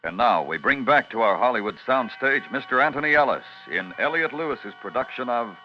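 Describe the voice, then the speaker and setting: A man's voice: medium pitch, expressive, brisk. Grand male announcer, old muffled radio. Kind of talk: formal 1950s radio announcing. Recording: muffled vintage radio, music behind.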